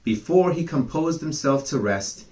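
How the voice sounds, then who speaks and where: A man reading bedtime fables aloud for children, gentle and chatty, with casual asides to his young listeners. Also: on the high side, expressive, measured pace. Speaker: storyteller.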